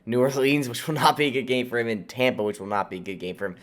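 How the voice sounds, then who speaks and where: A man's voice: mid-range voice, expressive, fast. American guy doing a fantasy football show, sounds sick and nasally, casual but stresses his points. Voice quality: nasal, congested. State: sick with a cold. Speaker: host of a fantasy football channel. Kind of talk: casual sports advice talk to viewers.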